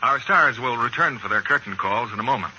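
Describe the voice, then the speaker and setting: A man as a 1940s radio announcer, hard-selling in an advertising pitch. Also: lively intonation, fairly quick, over a noisy crowd. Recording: old radio broadcast, faint noise.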